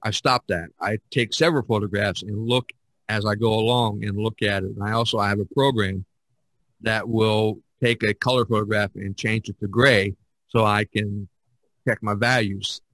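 A man's voice: lowish voice, steady tone, normal speed, a bit bright, dry recording, poor audio. An older man, a podcast host and painter, talking casually in a rambling, explanatory way.

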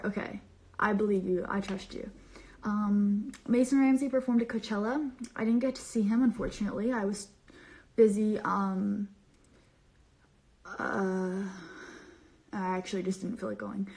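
A woman's voice: medium pitch, gravelly, darkish tone. A young woman chatting casually on a livestream from her bedroom, reading viewer comments aloud in a bubbly, playful, rambling way full of slang. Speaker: social media creator on a live stream. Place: bedroom, small indoor room. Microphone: phone camera at arm's length.

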